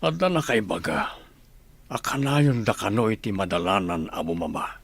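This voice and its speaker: A man with an Ilocano accent and a husky voice playing a confident, scheming village father in a radio comedy drama. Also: fairly low pitch, very animated, normal speed, no echo, full character voice. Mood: reassuring.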